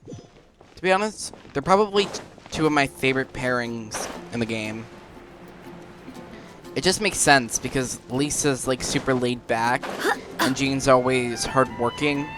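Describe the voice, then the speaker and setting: A man with a medium-pitched voice chats casually as he plays a video game. His loose, informal commentary sits over clearly audible game music. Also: unhurried, long pauses. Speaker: gaming streamer, player.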